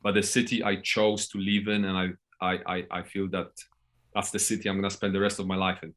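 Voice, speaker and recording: A man's voice: low-pitched, level tone, a little fast, a bit bright. A man in his thirties with a Polish accent, telling stories casually and bluntly as a podcast guest. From Poland. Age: 30s. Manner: blunt, sweary, tags phrases with "right?". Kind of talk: casual interview storytelling.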